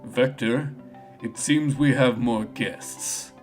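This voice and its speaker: A man voicing a solemn, deadpan male chameleon poet in a video game, measured and cool-headed. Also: soft background music, close to an everyday voice. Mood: cool, observant. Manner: low, flat, measured.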